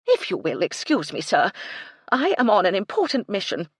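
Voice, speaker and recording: A woman's voice: mid-range voice, wildly swooping pitch, moderate pace, husky, no echo, clean studio sound, pitched above the performer's own voice. A woman voicing a fussy, haughty English society lady in a storybook, prim and precise. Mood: brisk, disdainful.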